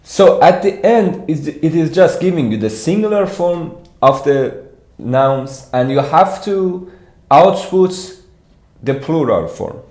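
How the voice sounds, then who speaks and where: A man from Iran with a Persian accent, giving an informal livestreamed walkthrough as a programming instructor, thinking aloud haltingly. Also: fairly high pitch, animated, a little mellow. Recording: echoey room.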